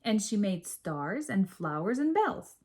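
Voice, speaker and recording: A woman reading a children's story aloud in an expressive, measured storytelling manner. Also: medium pitch, husky. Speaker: storybook reader.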